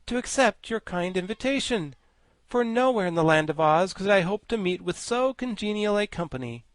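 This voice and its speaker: A man voicing a pompous, learned male insect gentleman with grand, formal speech. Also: sits high, lively intonation, normal speed, dry recording, pitched above the performer's own voice. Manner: grandiloquent, formal, self-satisfied. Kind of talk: audiobook character voice.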